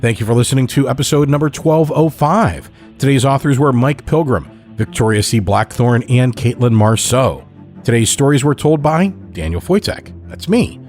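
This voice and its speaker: A man narrating a horror story in a measured, deliberate way as a podcast host. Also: mid-range voice, animated, a little mellow, faint music behind.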